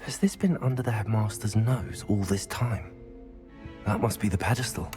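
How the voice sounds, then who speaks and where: An actor playing an earnest, inquisitive teenage wizard student in a video game, thinking aloud. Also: male voice, lowish voice, moderate pace, close to an everyday voice. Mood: curious. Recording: loud game music underneath.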